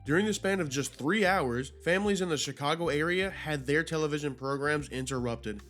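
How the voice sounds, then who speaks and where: A man's voice: on the high side, a little fast, a bit bright, soft background music. A man hosting a video commentary, narrating in an informal, slangy, conversational way. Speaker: YouTube host.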